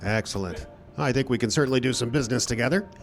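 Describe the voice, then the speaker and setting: Actor as a businesslike exec guy, medium pitch, cagey, radio play with music behind. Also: fast, lightly acted. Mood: impressed, businesslike. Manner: businesslike, hedging. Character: smooth-talking studio production executive, impressed but cagey. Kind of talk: live old-time radio style acting.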